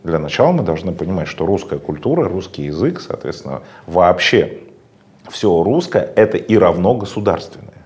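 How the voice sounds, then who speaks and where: A man's voice: sits low, big pitch swings, normal speed, darkish tone. A man giving long, emphatic, lecturing answers in a political interview, with frequent hesitation sounds. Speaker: interview guest.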